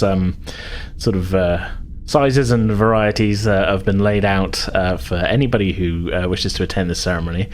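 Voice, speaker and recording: A man narrating a tabletop game as game master, improvising his descriptions in a halting, hesitant way. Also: lowish voice, slight background noise.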